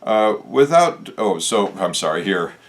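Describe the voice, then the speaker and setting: A man with a hoarse voice, talking in a casual, reflective way as a show host, pausing often with "uh" as he thinks aloud. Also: fairly low pitch, sing-song. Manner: musing, unhurried, with frequent "uh" pauses. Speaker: channel host discussing Masonic lore.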